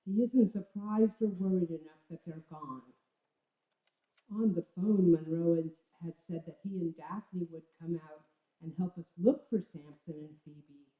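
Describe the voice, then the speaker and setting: A woman reading a literary memoir on stage in an expressive, measured storytelling manner, with deliberate dramatic pauses. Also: fairly low pitch. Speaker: reader of a literary memoir at a live storytelling event. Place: indoor stage venue. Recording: echoey room, dull lo-fi sound.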